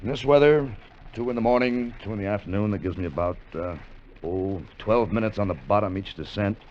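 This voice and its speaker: An actor playing a seasoned, cautious salvage diver, measured and matter-of-fact, in 1950s radio drama style. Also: male voice, fairly low pitch, a little mellow, a little hiss or hum, natural voice. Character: seasoned, cautious salvage diver, level-headed among excited partners. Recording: dull vintage radio recording.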